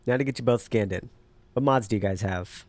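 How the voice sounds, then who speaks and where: Audio drama role, male actor: wary male tech leader, low register, blunt, dry, clipped questioning. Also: brisk, a little mellow, no room sound, close to an everyday voice. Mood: brisk, probing. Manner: terse, probing, dry.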